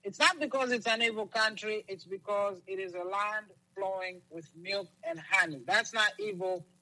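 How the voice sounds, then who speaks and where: A man from Zambia, a guest on a talk show, answering in a halting way with many restarts. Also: high-pitched, bright, forceful tone, low-quality recording. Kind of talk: interview answers.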